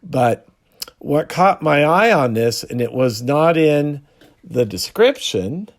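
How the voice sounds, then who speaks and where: A man hosting a hobby video, explaining casually and unscripted, with halting pauses mid-sentence. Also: fairly high pitch, sing-song.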